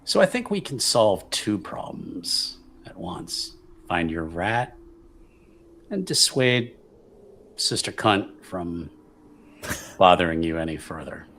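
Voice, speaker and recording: A smooth, calculating male rogue acted by a man in a tabletop fantasy game. The voice is low and very slow, swinging widely in pitch, with insinuating pauses over faint noise. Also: sing-song, fairly bright tone, full character voice. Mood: conspiratorial.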